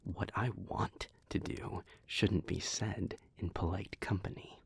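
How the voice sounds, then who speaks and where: A haughty male vampire, performed by a man, speaks in a low, breathy hush. His delivery is very slow, formal and sardonic, with cool disdain suited to an audio roleplay. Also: steady tone, full character voice. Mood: contemptuous. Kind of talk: dramatic audio roleplay acting.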